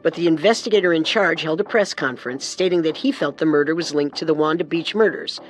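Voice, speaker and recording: A woman co-hosting a scripted true crime podcast with dramatic, deliberate delivery. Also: low-pitched, faint music behind, low-quality recording. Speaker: podcast co-host and narrator.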